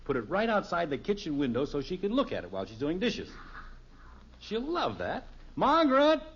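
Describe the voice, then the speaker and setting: A man's voice: high voice, huge pitch swings, normal speed, slightly rough, heavily acted. An actor playing a cheerful, self-assured middle-aged American father in a 1950s radio sitcom, lecturing in a folksy way. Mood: busily pleased. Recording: muffled old radio recording.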